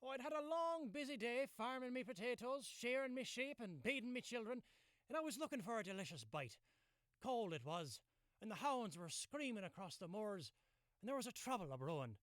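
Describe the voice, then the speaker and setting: A chatty old Irish farmer, played by an actor in a radio play, swings through folksy tales with an animated lilt. The voice is bright and recorded dry and close. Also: medium pitch, a little fast, no echo. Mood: ominous, storytelling. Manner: rambling, folksy.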